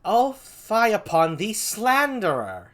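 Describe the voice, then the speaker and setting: A performer reading a gentle, spirited young noblewoman with drawn-out, theatrical Shakespearean delivery. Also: mid-range voice, wildly swooping pitch, voice pushed up, exaggerated voice. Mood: indignant. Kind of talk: amateur Shakespeare read-aloud.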